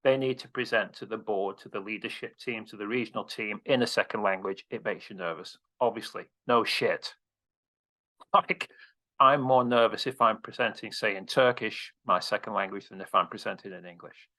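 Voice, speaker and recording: A man's voice: lowish voice, normal speed, pushed and bright. A man coaching on presentations, answering interview questions in a direct, casual way with casual swearing.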